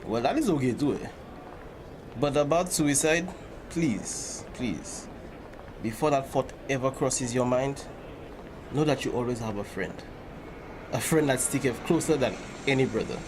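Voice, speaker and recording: A man acting as a kindly priest in a drama, counselling in a gentle, reassuring, fatherly way. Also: mid-range voice, measured pace, pauses often, close to an everyday voice. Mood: gently pleading. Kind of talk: dramatic acted dialogue. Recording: noisy location sound with steady ambience.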